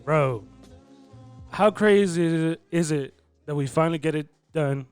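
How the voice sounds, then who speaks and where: A man's voice: fairly high pitch, normal speed, smooth, soft background music. A man, hosting a casual podcast interview in a slangy, hyped way with plenty of swearing. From Inland Empire, California, United States. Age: 20s. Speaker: podcast host.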